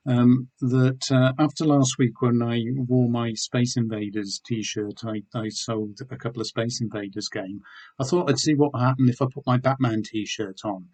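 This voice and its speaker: Middle-aged british guy, bit hoarse today, rambling on with lots of ums, normal pitch. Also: moderate pace, darkish tone. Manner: rambling, with many ums and restarts. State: slightly hoarse, losing his voice. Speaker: eBay seller reviewing weekly sales. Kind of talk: casual video chat showing items.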